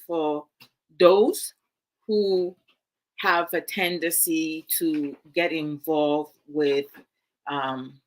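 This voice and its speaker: A woman from Ghana, giving casual commentary to her live viewers in a musing, hesitant way with many fillers. Her is Twi. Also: low-pitched. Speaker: vlog host.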